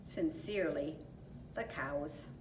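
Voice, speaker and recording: A woman's voice: low voice, takes it slowly, pitched below the performer's own voice. Woman as polite, demanding farm cows, echoey room. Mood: polite, firm. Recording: roomy echo, distant mic, faint noise.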